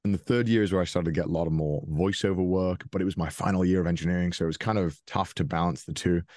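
A man's voice: low voice, fairly even intonation, quick pace, a little mellow, no room sound. A young man from Wales with a Welsh accent, talking casually as an interview guest, rambling with restarts and light chuckles. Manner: rambling, frequent restarts and fillers, chuckles often.

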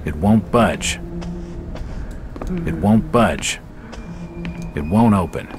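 An actor playing a wary, world-weary detective in a video game, speaking terse, brooding, matter-of-fact inner monologue. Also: male voice, sits low, expressive, very slow, a little hiss or hum, heavily acted. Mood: flat, terse.

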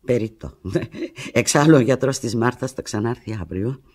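Radio play lead: heartbroken woman clinging to her lover by phone, husky, intense, fragmented delivery. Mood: wounded, resigned. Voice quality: low, husky. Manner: intense, fragmented, swinging from hushed to anguished. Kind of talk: radio drama monologue, one side of a phone call.

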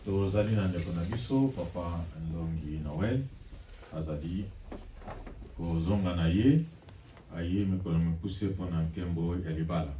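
A man's voice: deep voice, mellow. A man giving formal church announcements and leading call-and-response during a service. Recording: distant mic with faint noise.

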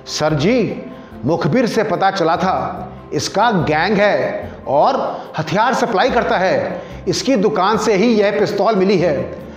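A man plays an aggressive police constable in a short story. The voice is pushed high, bullying and accusing. The recording is roomy and dull. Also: expressive, slow, soft background music. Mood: reporting. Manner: threatening, accusing. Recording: roomy echo, dull lo-fi sound.

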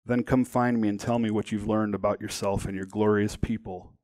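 A man voicing an intense older skinhead recruiter in an audiobook-style story, with a steady, earnest, authoritative manner. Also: fairly low pitch, brisk, no echo, natural voice. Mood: persuasive, proud.

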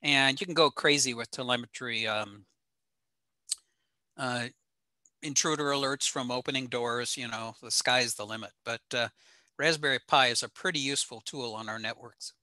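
An older American man giving an informal slide lecture in an explanatory, halting way with frequent pauses. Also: mid-range voice, bright, forceful tone, dry recording. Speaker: presenter, ham radio operator. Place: small home room indoors.

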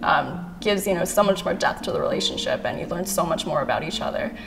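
Earnest young woman, low and gravelly. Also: lively intonation, fast, over music, low-quality recording. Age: young adult. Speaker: graduating student. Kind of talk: casual interview answers.

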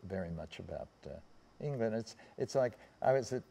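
Halting older American man, low voice. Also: lively intonation, creaky, dark tone, husky. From United States. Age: 70s. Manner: halting, rambling, trailing off and circling back. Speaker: panel guest recalling personal memories. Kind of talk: anecdotal reminiscence on a panel. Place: seated on a theatre stage.

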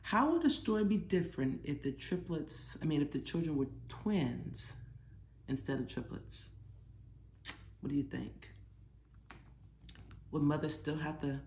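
A woman's voice: sits low, animated, a little slow, pauses often, darkish tone. An American woman in her forties talking casually to children as a storytime presenter, encouraging them and asking questions. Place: small living room at home, indoors. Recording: echoey room with clear background noise.

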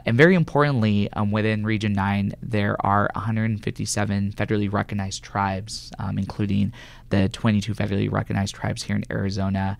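A man's voice: lowish voice, gravelly, dry recording. A man from California answering conversationally as an interview guest, a government health official, in a radio studio. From California, United States.

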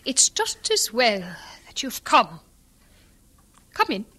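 An actress playing a stern, domineering Victorian missionary guardian in an audio drama, indignant and scornful. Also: female voice, on the high side, wildly swooping pitch, takes it slowly, noisy background, heavily acted. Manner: indignant, clipped, imperious.

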